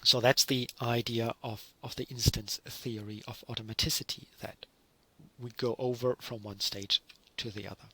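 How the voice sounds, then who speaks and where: A man's voice: medium pitch, level tone, normal speed, sharp tone, breathy edge, dry recording, low-quality recording. A middle-aged man from Germany with a German accent, giving a recorded university lecture and explaining with many fillers and self-corrections.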